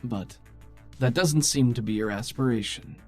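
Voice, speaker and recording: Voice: medium pitch, moderate pace, darkish tone, soft background music, close to an everyday voice. A game master playing a cool, businesslike female contact who speaks in a dry, formal, wry manner. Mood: cool, brisk.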